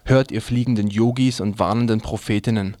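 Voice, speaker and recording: A man's voice: on the low side, steady tone, fairly quick, a little mellow, dry recording. A man reading scripted news items as a radio news presenter. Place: dry radio studio.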